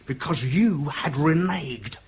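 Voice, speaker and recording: A man's voice: a little high, animated, slow pace, husky, exaggerated voice. An actor playing a vengeful, menacing British man in a radio drama, telling things in clipped, sardonic phrases. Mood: bitter, taunting. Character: vengeful, taunting man bent on avenging his father. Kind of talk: BBC radio drama acting.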